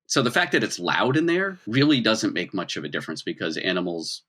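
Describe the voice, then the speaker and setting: Male game master, bright and forward voice on the lower side, animated improvised tabletop narration. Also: a little fast. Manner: animated explainer, acts out sounds and examples.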